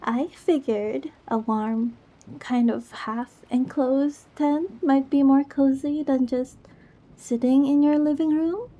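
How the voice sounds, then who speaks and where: A woman playing a goofy, affectionate young girlfriend in an ASMR roleplay, talking tenderly and playfully to comfort a scared partner in a soft, breathy voice. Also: sits high, steady tone, slow. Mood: cozy, caring. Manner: playful, rambling, tender, reassuring. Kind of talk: ASMR girlfriend roleplay, intimate one-sided dialogue.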